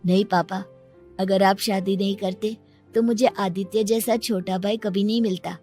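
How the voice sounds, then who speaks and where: A woman's voice: mid-range voice, fairly even intonation, moderate pace, darkish tone, faint music behind, close to an everyday voice. A woman voicing an innocent little boy, earnest and pleading, in a cartoon story. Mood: meek, pleading. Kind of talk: Hindi cartoon story dialogue.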